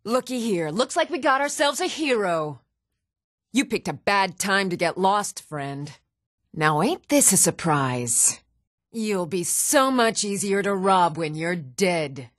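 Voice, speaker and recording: A woman's voice: medium pitch, animated, slow pace, no room sound, clean studio sound. An actress playing a cruel, gloating female bandit raider in a fantasy video game, menacing and jeering.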